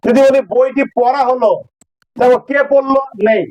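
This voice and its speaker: A man's voice: very high-pitched, flat delivery, moderate pace, a little mellow, low-quality recording. A man with an electronically altered voice, explaining grammar step by step as a teacher, repeating key phrases. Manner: didactic, repeats key phrases and checks understanding. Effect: voice changer. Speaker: English teacher.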